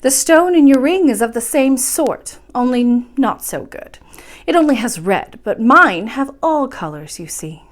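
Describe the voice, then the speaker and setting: A woman voicing a kindly, serene, magical grandmother in a storybook, speaking calmly and patiently as she explains. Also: high-pitched, measured pace. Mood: gracious. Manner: calm, patient, instructive. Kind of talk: storybook character voice in a read-aloud.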